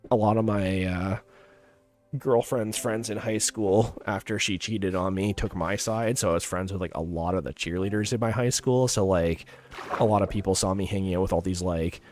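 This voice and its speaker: Young male streamer, deep voice gone a bit raspy, easygoing and meandering while he plays. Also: darkish tone, slight background noise. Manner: rambling, heavy use of "like" fillers. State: slightly raspy voice. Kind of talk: casual chat over gameplay.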